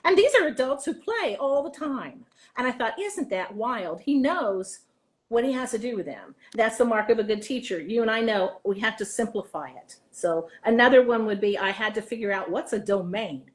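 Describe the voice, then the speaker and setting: A woman's voice: a little high, lively intonation, normal speed. An American woman speaking as an interview guest and theater teacher, telling anecdotes conversationally and acting out remarks.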